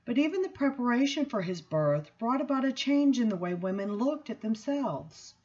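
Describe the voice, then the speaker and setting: A woman reads from a book aloud in an expressive style, with measured, deliberate phrasing and emphatic pauses. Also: on the high side, breathy edge, lo-fi sound. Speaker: seminary student reading aloud. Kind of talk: expressive book reading with spoken introduction.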